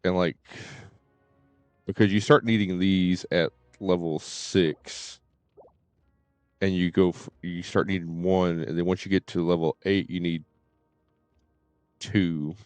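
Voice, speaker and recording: A man giving casual live game commentary, thinking aloud in a halting way and often repeating his words. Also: deep voice, fairly even intonation, darkish tone, clean tone, dry recording. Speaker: game livestreamer. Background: faint game music.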